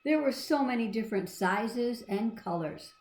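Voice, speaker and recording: A woman's voice: on the high side, lively intonation, moderate pace, a little mellow. A woman reading a storybook aloud to children, expressively, with chatty asides and questions to young listeners. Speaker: storybook reader. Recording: echoey room.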